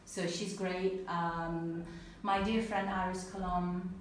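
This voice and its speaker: A woman with an Italian accent giving an earnest, animated personal talk to an audience, then answering questions. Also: lowish voice, slow. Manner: earnest, animated, laughs easily. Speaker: speaker at a talk. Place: event room with an audience. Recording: echoey room, mic at a distance, faint noise.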